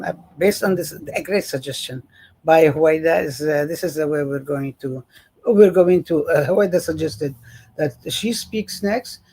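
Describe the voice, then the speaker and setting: A woman moderates an online panel over a video call. Her voice is gravelly, husky and mellow, and she talks haltingly with many fillers. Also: low voice.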